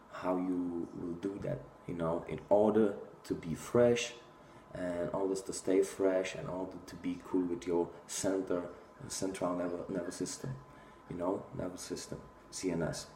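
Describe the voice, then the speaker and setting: A man's voice: sits low, darkish tone, echoey room, slight background noise. A man in his thirties with a Czech accent, talking bluntly and casually to a phone camera in a small room, with many fillers. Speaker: fitness vlogger. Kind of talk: casual talking-head monologue.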